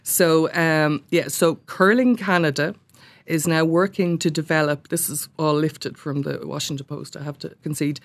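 A woman's voice: low-pitched, moderate pace. A woman chatting in a radio studio as a guest pundit, wry and joking with rambling asides. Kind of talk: radio chat.

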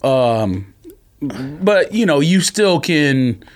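A man's voice: on the high side, big pitch swings, drawn-out words. An American man co-hosting a podcast in casual conversation, giving opinions in an informal, blunt way. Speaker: podcast co-host.

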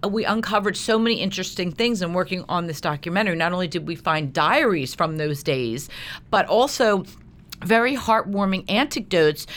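Slightly low-voiced woman, rambling interview guest. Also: fairly bright tone. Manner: rambling, with asides and self-corrections. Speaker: interview guest, documentary producer. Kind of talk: casual anecdotal interview talk.

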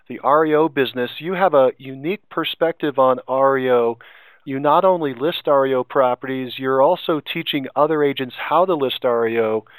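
A male interviewer with a clear, smooth voice of middling pitch, recorded close and dry. He hosts in an orderly, focused manner, steering the talk with structured questions. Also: fairly bright tone, dry recording, rough recording. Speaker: podcast interviewer, host.

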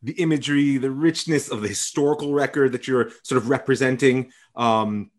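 A man's voice: mid-range voice, a little fast. A man hosting an interview as a rabbi, speaking thoughtfully and effusively, with many fillers and restarts. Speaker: podcast host, rabbi. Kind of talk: conversational interview.

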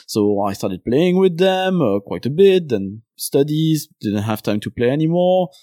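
A man from Belgium with a Belgian French accent, speaking English as a second language in a relaxed interview. His voice is dark and mellow, and the recording is close and dry. He rambles at length, peppered with uh. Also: on the high side, unhurried, no room sound. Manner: talks at length, many uh fillers. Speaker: podcast host and game designer guest. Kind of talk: casual interview chat.

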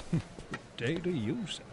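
Genial, lively businessman. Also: fairly high pitch, takes it slowly, slight background noise. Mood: amused. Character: genial English businessman at the exchange. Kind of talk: family radio drama acting.

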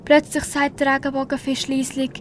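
A woman's voice: high-pitched, completely flat delivery, normal speed, slight background noise. A teenage girl reading scripted story narration for an amateur radio play.

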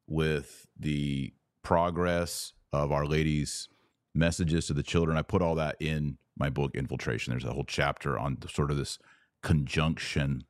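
Very deep-voiced male podcast host. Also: no echo, studio quality. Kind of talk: casual podcast talk.

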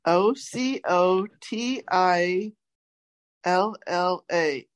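A woman's voice: fairly low pitch, drawn-out words. A woman casually and a little hesitantly presenting a word game on a podcast. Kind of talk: casual chat.

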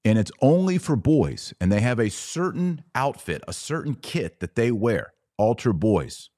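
A man's voice: medium pitch, big pitch swings, a little slow, a little mellow, no echo, studio quality. An American man hosting a podcast, giving informal, opinionated religious commentary in an emphatic, conversational way with rhetorical asides.

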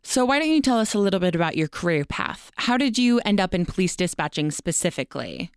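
A woman hosts a conversational podcast interview. Her voice sits a little low and is smooth and clean. The recording is dry, with hardly any room sound around her. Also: sing-song, fast, no echo.

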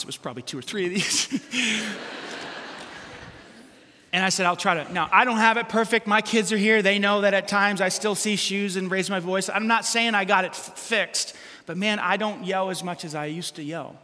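Bright-voiced man preaching in an echoing hall. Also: extremely high pitch. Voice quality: loud voice. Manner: animated, self-deprecating storytelling with sudden loud bursts. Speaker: pastor. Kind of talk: sermon. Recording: long hall reverb.

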